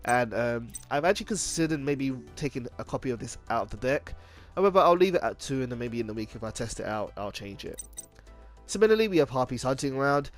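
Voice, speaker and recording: A man's voice: medium pitch, lively intonation. A man hosting an unscripted card game video, explaining things informally and often correcting himself as he goes. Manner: halting, self-correcting, informal. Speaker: video game deck profile host. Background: music.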